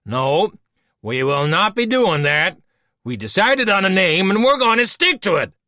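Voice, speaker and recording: A taunting, boastful male villain in a storybook, put on by a man reading aloud. The voice is pushed higher and louder than the reader's own, with wide, lively swings. Dry, close recording. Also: a little slow, no echo, exaggerated voice. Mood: insistent. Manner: taunting, mocking.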